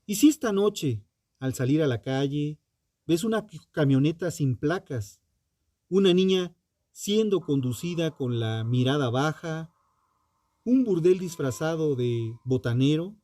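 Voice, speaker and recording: Male true crime host, slightly high-set voice but mellow and dark, solemn, dramatic scripted read in a dry studio sound. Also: animated, no echo. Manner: solemn, deliberate, ominous rhetorical delivery.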